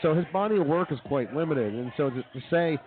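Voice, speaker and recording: A man's voice: a little high, animated, fast talker. Man hosting sports talk, thin phone-like sound. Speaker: radio show host. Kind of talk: live sports talk and commentary. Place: brewery venue, live broadcast.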